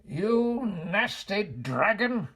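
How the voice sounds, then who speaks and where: A feisty, scolding old witch from a children's storybook, as voiced by a male reader. The words are stretched out slowly and swing widely in pitch. The voice is husky, with gloating exclamations. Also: sing-song, a bit bright, exaggerated voice. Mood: angry scolding.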